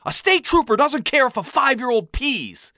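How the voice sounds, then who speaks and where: An exasperated father from a comic family story, acted out by a man. He is quick to anger, with a forceful, sharp voice. His pitch swings widely between weary and furious. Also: big pitch swings, no echo, clean studio sound. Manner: forceful yelling and weary muttering. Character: exasperated, furious father, easily pushed to yelling.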